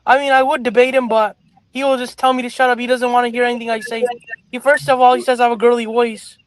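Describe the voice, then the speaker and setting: A man's voice: extremely high pitch, flat delivery, quick pace, clean tone, no room sound, low-quality recording. A man talking as a guest on a casual talk show, arguing his points earnestly and at length.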